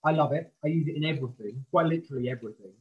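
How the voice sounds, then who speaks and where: A male chef gives a live cooking demonstration over a video call. He explains things informally and clearly step by step, standing back from the camera in a professional kitchen. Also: on the high side, rapid-fire, lo-fi sound.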